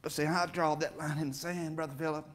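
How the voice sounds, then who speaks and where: A middle-aged man preaching from a church stage in a somewhat high voice. He gives a heartfelt testimony, rising into emphatic swells and turning emotional. He is picked up by a camera set back from the stage. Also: flat delivery, fast. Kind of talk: sermon testimony. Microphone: far camera.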